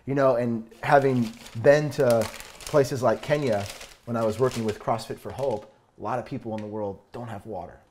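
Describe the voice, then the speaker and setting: A man's voice: mid-range voice, steady tone, moderate pace, darkish tone, slight background noise. Earnest man explaining a cooking demo. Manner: earnest, upbeat, explains step by step. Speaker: fitness coach. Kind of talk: cooking demo talk to camera. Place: home kitchen, indoors.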